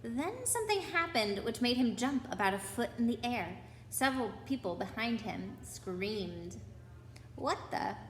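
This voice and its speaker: A woman with a fairly high voice reads a storybook aloud to children, chatting to them as she goes. The room is clearly echoey and there is some background noise. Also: expressive, normal speed. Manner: chatty asides to young listeners.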